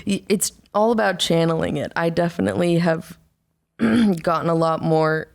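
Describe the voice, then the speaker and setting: Young woman with a low gravelly voice. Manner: rambling, halting, many fillers and restarts. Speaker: album artist, interview guest. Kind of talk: casual conversation.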